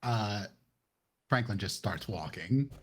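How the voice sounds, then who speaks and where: A man's voice: fairly low pitch, expressive, slow pace, slightly rough. A man playing a tabletop roleplay game, casually describing and voicing his character.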